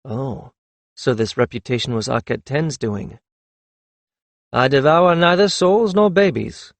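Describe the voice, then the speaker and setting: A man giving voice to a tired young leader of dragon riders, speaking firmly and wearily with a touch of dry humor. The voice is mid-pitched and close, recorded in a dry, deadened space. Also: measured pace, vocal fry, no echo. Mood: wry. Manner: weary, firm.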